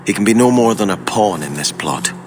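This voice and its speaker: An actor playing a devout, earnest exiled prince in a fantasy video game, speaking with courteous formality. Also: male voice, fairly low pitch, lively intonation, brisk, slight background noise, close to an everyday voice. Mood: grave, measured.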